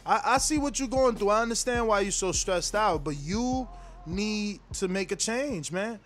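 A man's voice: high voice, lively intonation, moderate pace, a bit bright, soft background music. A man in his forties hosting a call-in show, brash, profane and teasing with callers. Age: 40s. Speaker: host.

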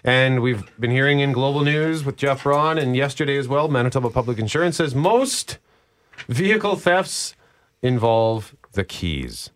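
A man's voice: mid-range voice, animated, normal speed, a bit bright. A man with a Canadian accent talking casually as a co-host on a radio morning show.

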